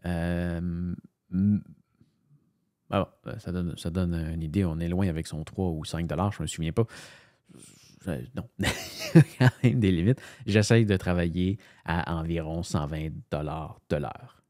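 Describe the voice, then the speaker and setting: A man's voice: sits low, measured pace, warm tone, no echo, studio quality. A man with a Canadian French accent, a voice-over narrator, chatting casually and rambling with many fillers and restarts as he thinks aloud. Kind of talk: casual screen-share commentary.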